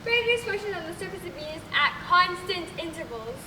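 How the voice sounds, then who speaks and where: Very high, halting astronomer played by a young woman. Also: sing-song. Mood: muddled, cheerful. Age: young adult. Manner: halting, muddled recitation. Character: clueless, stiff, veteran woman astronomer in radio play. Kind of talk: stage comedy acting. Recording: echoey noisy hall, far mic.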